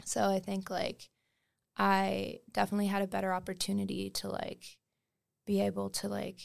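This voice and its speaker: A woman's voice: mid-range voice, completely flat delivery, normal speed, creaky. A young woman from Wales raised in Canada, a musician guest chatting casually on a podcast, full of fillers and trailing phrases.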